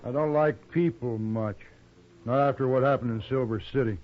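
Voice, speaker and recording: A middle-pitched male voice of an actor as an embittered gun-for-hire in a vintage radio western. He talks in curt, sardonic bursts. The old recording sounds dull and muffled. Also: moderate pace, natural voice. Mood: bitter. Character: bitter, hardened hired gunman, old friend turned enemy. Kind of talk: 1950s radio western acting.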